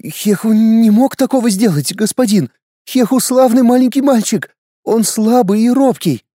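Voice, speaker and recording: A man's voice: very high-pitched, normal speed, dark tone, no echo, clean studio sound, voice pushed up. A man reading an audiobook, voicing a frightened village mother who pleads with armed men. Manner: scared, pleading, breathless.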